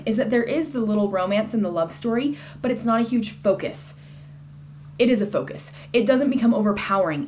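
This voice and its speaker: A woman's voice: medium pitch, slight background noise. A woman giving a casual, conversational book review in an informal and enthusiastic way.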